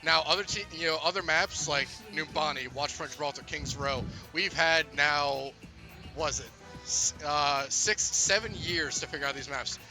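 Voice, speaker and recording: A man's voice: a little high, moderate pace, sharp tone, soft background music, low-quality recording. A man giving color commentary on a school esports match, breaking down strategy and joking as he goes. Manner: jokey, breaks down strategy with playful quips.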